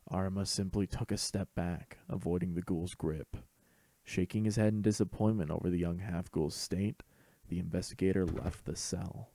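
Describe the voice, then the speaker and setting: A man's voice: low voice, fairly even intonation, moderate pace, dark tone. A man reading a scripted fan fiction story as host and narrator.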